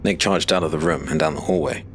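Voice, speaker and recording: A man narrating a scripted dark fantasy story as an audiobook narrator. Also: rumbling low, fairly even intonation, fast talker.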